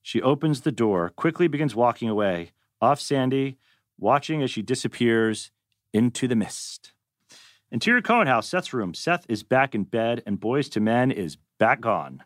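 A man's voice: mid-range voice, very animated, moderate pace, no echo, clean studio sound. A man narrating scene headings and action as the stage directions reader at a live script table read.

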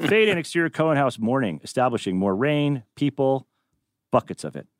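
A man's voice: medium pitch, animated, brisk, dry recording, studio quality. A man narrating a screenplay's stage directions at a table read. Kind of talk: animated script reading.

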